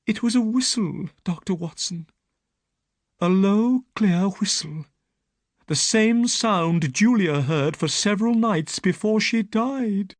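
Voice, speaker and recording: A man's voice: sits high, lively intonation, slow, no room sound, voice pushed up. A man voicing a frightened young woman who pleads for help. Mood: fearful. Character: frightened young woman telling her story.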